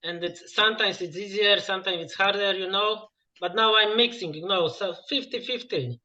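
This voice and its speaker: A man's voice: high voice, sharp tone, muffled recording. A man from Poland with a Polish accent, a guest musician talking casually in English and filling his speech with "you know" as he searches for words. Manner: halting, lots of "you know" fillers. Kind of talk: casual interview over a call.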